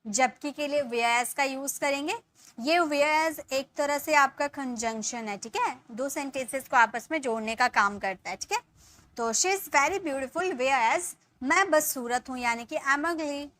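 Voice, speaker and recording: A woman in her thirties gives an English lesson, explaining each point in Hindi. Her voice sits high and sounds bright and clear. She takes her time, saying the English sentences slowly and word by word for her viewers. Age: 30s. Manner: addresses viewers as guys, checks in with "ठीक है". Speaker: English teacher.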